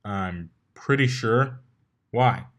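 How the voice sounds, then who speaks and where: A man's voice: lowish voice, extremely slow, fairly bright tone. A man voicing a touchy, easily flustered young male pegasus pony in a fan fiction reading. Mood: puzzled. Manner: indignant, stammering. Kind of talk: acted fan fiction dialogue.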